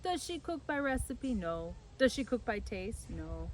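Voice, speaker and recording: A woman's voice: on the high side, very animated, a little slow, pitched above the performer's own voice, low-quality recording. A woman playing a fond, theatrical innkeeper father. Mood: fondly exasperated. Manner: rhetorical, theatrical, affectionate.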